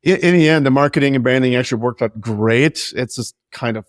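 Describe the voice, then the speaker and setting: A man's voice: medium pitch, a little fast, dry recording. Man, forties, from Norway, candid, self-deprecating, conversational, dry recording. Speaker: guest, business founder. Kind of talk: casual interview.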